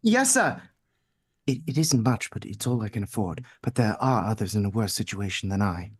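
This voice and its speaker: A man's voice: on the low side, big pitch swings, normal speed, breathy edge, exaggerated voice. A man playing a meek, kindly, put-upon clerk in an old-time radio drama, deferential and hesitant. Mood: timid, eager. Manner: deferential, hesitant, eager to please.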